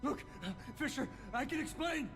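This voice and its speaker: An actor playing a panicked, cowardly villain in a video game, pleading in a strained, gravelly voice. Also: male voice, animated, moderate pace, music in the background. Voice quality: high-pitched, gravelly. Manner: desperate, strained. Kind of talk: video game cutscene acting.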